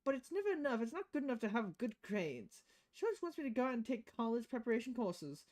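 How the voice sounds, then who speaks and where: Woman playing a high-pitched, nervous teenage girl. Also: very animated, quick pace, a little mellow. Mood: defiant, weary. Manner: hesitant, stammering, pleading. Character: nervous, rebellious teenage girl posing as a ghost.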